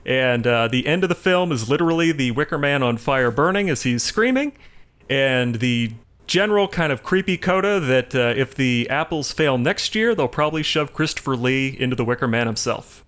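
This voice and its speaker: A man co-hosting a podcast, telling a story casually in a halting, self-correcting way. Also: medium pitch, expressive, fairly bright tone. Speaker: podcast co-host. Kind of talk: casual film recap discussion.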